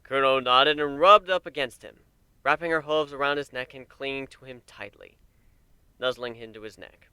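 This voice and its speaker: Man, story narrator, dramatic reading, clear bright tone, dry recording. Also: mid-range voice, moderate pace, no room sound. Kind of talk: dramatic narration of fan fiction.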